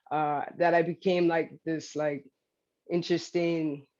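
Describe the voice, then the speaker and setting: A woman's voice: low voice, little pitch movement, slow, a little mellow, lo-fi sound. A woman speaking as a panelist who rambles and laughs often between thoughts. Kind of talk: panel discussion.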